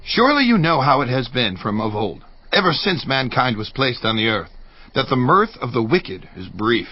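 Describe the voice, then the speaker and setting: An actor playing a troubled, indignant friend of Job who sternly warns of the fate of the wicked, declaiming in a dramatized audio Bible. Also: male voice, medium pitch, sing-song, moderate pace, highs cut off. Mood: stern, scornful. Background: faint music.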